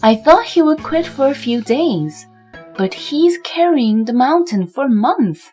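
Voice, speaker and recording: A woman's voice: sits high, lively intonation, measured pace, darkish tone, pitched above the performer's own voice. A worried storybook mountain spirit, voiced by a woman, fretful and emphatic. The voice is lifted a little above plain narration, with faint music underneath. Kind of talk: storybook character voice for children.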